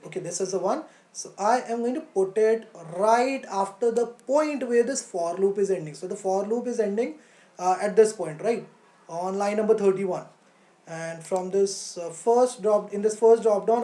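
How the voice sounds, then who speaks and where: A woman gives an online coding lesson in a soft-edged, mellow voice. She explains step by step, often asking a question and then answering it. The recording has a noticeable room echo. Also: medium pitch. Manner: instructional, poses questions then answers them, checks with "right?". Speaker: coding teacher.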